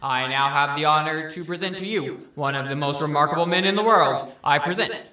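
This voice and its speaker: A man's voice: on the high side, brisk, pushed and bright. A man acting as a formal, dramatic narrator and announcer in an amateur radio play. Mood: grand, proclaiming.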